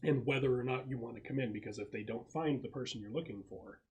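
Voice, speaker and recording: A man's voice: mid-range voice, fast, darkish tone. A man explaining and narrating casually as game master of a tabletop roleplaying session. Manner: explanatory, conversational.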